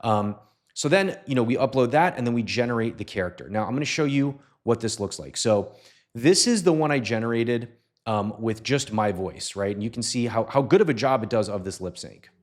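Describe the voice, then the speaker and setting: A man narrating a casual software tutorial in a conversational way, often checking in with short tag questions. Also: medium pitch, lively intonation, brisk. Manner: conversational, often tags sentences with "right?". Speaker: tutorial host.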